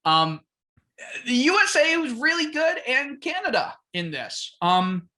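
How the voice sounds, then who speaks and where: A man co-hosting a sports podcast, chatting casually with many fillers and laughs. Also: extremely high pitch. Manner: halting, lots of fillers and laughs, animated rises. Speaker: podcast co-host.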